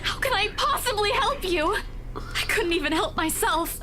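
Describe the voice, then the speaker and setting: A woman's voice: extremely high pitch, lively intonation, moderate pace, music in the background. A woman playing a terrified, despairing young woman in a horror game, anguished and pleading. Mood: anguished, desperate. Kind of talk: dramatic video game voice acting.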